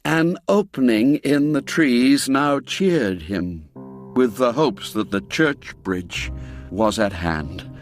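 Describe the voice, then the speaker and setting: Slow, measured male storyteller. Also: expressive. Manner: measured, dramatic storytelling, dropping low and quiet for grim moments. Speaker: narrator. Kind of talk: scripted radio story narration. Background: faint music score.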